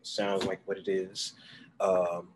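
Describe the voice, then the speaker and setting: A man's voice: low-pitched, completely flat delivery, slow pace, a little hiss or hum. A man hosting a podcast in loose, laughing, swearing banter with his co-hosts. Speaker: podcast host. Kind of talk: casual chat.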